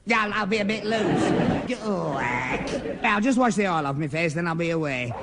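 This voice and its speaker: A man's voice: high-pitched, animated, moderate pace. A man playing a gormless, flustered young man who stammers and repeats himself in a radio sitcom. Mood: gormless, earnest. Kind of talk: 1960s radio sitcom acting. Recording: noisy old radio recording.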